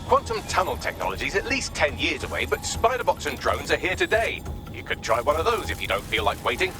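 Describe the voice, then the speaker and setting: A sardonic AI helper from a video game, with a highish, very lively voice. He talks fast and articulately, with dry wit, over prominent music. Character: witty, snarky male AI assistant.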